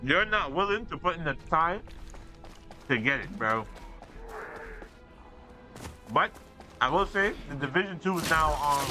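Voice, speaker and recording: A man giving casual gameplay commentary, teasing a friend with slang and trash talk. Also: a little high. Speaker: gaming video creator. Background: game music.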